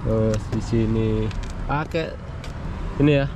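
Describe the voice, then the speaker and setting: Slow-talking young man showing off a bike. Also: on the low side. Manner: slow, relaxed, pointing things out with fillers. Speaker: motovlogger. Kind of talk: casual walkaround of a modified motorcycle. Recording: loud outdoor street noise.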